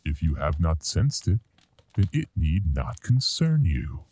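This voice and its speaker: Very deep, slow, sinister storyteller. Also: male voice, big pitch swings, a little mellow, a little hiss or hum, exaggerated voice. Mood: welcoming, ominous. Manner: theatrical, deliberate, solemn. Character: sinister otherworldly male storyteller, ominous and all-knowing. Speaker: anthology narrator. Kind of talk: horror radio drama narration.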